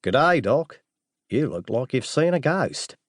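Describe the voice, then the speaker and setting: Thriller audiobook hitman, voiced by a man: Australian accent, cocky, breezy, animated pitch. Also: slow pace, breathy edge, dry recording, studio quality, full character voice. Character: brash, confident male assassin posing as an Australian visitor.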